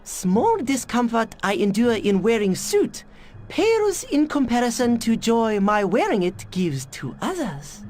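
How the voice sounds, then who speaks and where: Game scientist: Japanese accent, highish lively voice, eccentric, dryly formal, clipped grammar. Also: female voice, unhurried, soft background music. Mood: haughty, awed. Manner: stilted formal phrasing with dropped articles. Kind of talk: video game cutscene voice acting.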